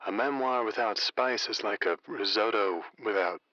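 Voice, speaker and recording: A suave, flowery male late-night radio host for a comedy audio drama. His voice is low with a bright, punchy edge, recorded close and dry. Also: moderate pace, dry recording, muffled recording. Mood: charming, playful. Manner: theatrical, florid, suave.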